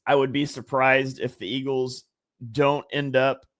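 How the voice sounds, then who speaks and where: A man chatting through draft picks on a sports podcast, working things out as he goes with plenty of ums and restarts. His voice sits at an ordinary middle pitch. The sound is dry and close, with no room around it. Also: little pitch movement, unhurried, a bit bright, dry recording. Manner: conversational, analytical, many fillers and restarts. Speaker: guest draft analyst. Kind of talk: casual sports podcast chat.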